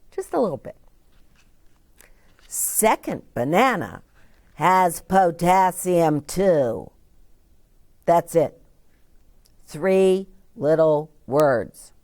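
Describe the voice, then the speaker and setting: A woman reading a children's story aloud as a storyteller, in a deliberate, dramatic way with asides to young listeners. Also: deep voice, darkish tone, dry recording. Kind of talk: expressive children's read-aloud.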